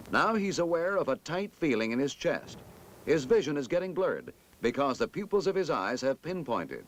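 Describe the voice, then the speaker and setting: A man narrating a scripted 1960s military instructional film in a formal, emphatic, measured manner. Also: mid-range voice, a little hiss or hum.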